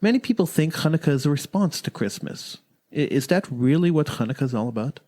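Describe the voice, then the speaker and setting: A man's voice: a little high, expressive, fast talker, dark tone. A man, hosting and interviewing on talk radio. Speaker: radio host.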